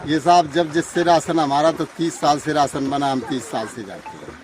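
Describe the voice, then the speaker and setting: A man's voice: a little high, moderate pace, music in the background. A man from rural Uttar Pradesh complaining with resignation in an informal village interview. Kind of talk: informal vox pop. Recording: distant outdoor mic, crowd noise.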